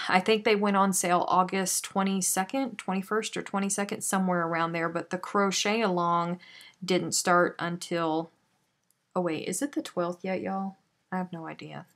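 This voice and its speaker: A woman's voice: on the low side, fairly even intonation, normal speed, fairly bright tone. A woman hosting a live crochet stream, chatting casually and self-deprecatingly as she reads out viewer comments.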